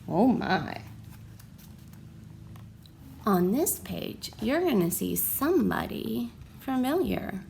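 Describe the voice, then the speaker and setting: A woman reading a picture book aloud to children, with expressive, dramatic and deliberate phrasing. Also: fairly high pitch, vocal fry, a little hiss or hum. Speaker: storybook reader for children. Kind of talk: expressive picture-book read-aloud with questions to young listeners.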